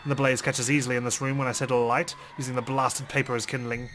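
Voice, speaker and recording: A man's voice: mid-range voice, level tone, fast, a bit bright, faint music behind. A man reading visual novel text aloud as dramatic first-person narration. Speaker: let's play host reading game text aloud.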